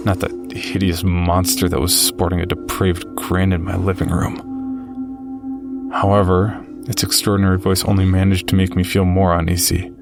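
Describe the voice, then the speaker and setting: A deep-voiced horror narrator, tells his own frightening story in a measured, grave way. His delivery is very even and gravelly, with music underneath. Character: man recounting a frightening childhood encounter with a monster. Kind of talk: scripted first-person horror narration.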